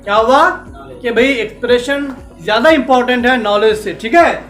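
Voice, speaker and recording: High-voiced male teacher in an echoey classroom. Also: moderate pace, fairly bright tone, faint music behind. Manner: mixes English phrases into Hindi, asks students questions. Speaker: English teacher. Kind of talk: classroom lecture to students.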